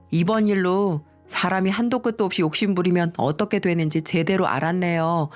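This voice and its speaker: A woman's voice: fairly low pitch, darkish tone. A woman reading a scripted first-person confessional story as its narrator.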